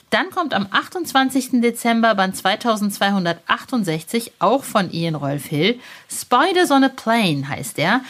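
A woman hosting a podcast in a casual, chatty and playful way. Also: mid-range voice. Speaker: podcast host.

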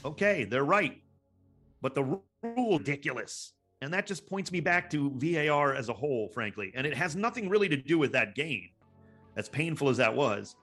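A man's voice: a little high, sing-song, moderate pace, a bit bright, faint music behind. A man giving impassioned sports commentary in a panel discussion, emphatic and exasperated, repeating words for stress. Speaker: sports broadcaster, guest pundit.

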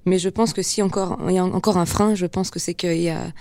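A young actress in an interview, speaking frankly and casually with some laughter. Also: female voice, on the low side, fairly even intonation, a little fast, warm tone, no room sound. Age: young adult.

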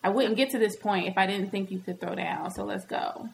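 A woman's voice: mid-range voice, fairly quick, vocal fry. Frank American Southern woman chatting, noisy background. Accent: American South, blended. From Nashville, Tennessee, US. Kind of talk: casual candid chat.

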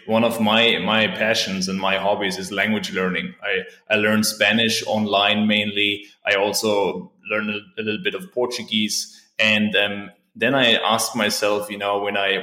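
A man's voice: fairly low pitch, bright, forceful tone. A man who works as a performance coach, answering interview questions in an upbeat, chatty way. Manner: upbeat, chatty, frequent "right?" and "you know". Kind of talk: casual interview chat. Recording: roomy echo.

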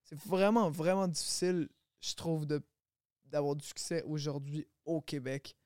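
Smooth-voiced young man. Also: a little high, unhurried, no echo, studio quality. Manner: relaxed, laughs often, slangy with "man". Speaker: rapper guest. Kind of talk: casual podcast chat.

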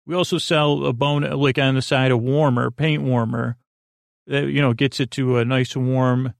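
A man hosting a sleep podcast, meandering through a rambling monologue full of fillers and restarts and pitching a made-up product with a straight face. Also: moderate pace, creaky, no echo, studio quality. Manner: deadpan mock product pitch.

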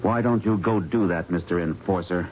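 An actor playing an earnest, protective young intern doctor with a gallant manner in a 1970s radio drama. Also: male voice, low-pitched, moderate pace, darkish tone, close to an everyday voice. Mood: indignant. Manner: gallant, indignant when challenged. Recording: dull, noisy old radio recording.

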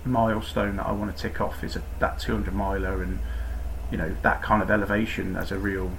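A man's voice: deep voice, flat delivery, a little fast, gravelly, a bit bright, slight background noise, poor audio. A man from Cornwall, a podcast guest talking casually about cycling in a rambling, self-deprecating way with many restarts. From Cornwall, England. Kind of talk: casual interview chat.

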